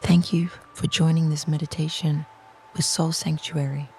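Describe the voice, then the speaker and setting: This is a guided meditation narrator with a creaky, fry-laden voice. The delivery is slow, soft and soothing, close to a whisper, with little melodic movement. A faint ambient noise sits behind the speech. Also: medium pitch, flat delivery.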